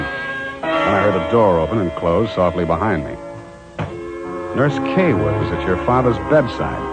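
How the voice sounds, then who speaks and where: An American actor playing a tough, wry, suspicious private detective in a clipped, cool 1940s radio drama style. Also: male voice, lowish voice, lightly acted, low-quality recording. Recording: old radio recording with music.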